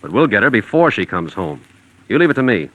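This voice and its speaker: An American actor playing a shrewd, self-assured private detective in a 1940s radio crime drama. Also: male voice, fairly low pitch, sing-song, brisk, fairly bright tone, lo-fi sound. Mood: brisk, confident. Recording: vintage 1940s radio recording.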